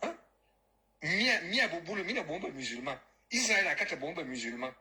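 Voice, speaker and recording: A man's voice: a little high, animated, moderate pace, background noise. A Cameroonian man ranting about politics, mocking and scornful, and mixing in local-language phrases. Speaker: caller, diaspora activist. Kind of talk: heated political rant.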